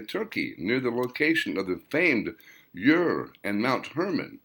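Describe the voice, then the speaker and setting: A man's voice: medium pitch, a bit bright. A man voicing a scholarly man who earnestly lectures on ancient languages and lost places. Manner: earnest, explanatory, measured.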